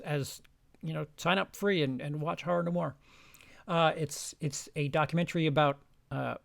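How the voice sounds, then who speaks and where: A man with a somewhat high, gravelly voice talks unscripted through his film rankings. He rambles, restarts his sentences often and swears casually. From lived in Denmark. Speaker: channel host ranking films.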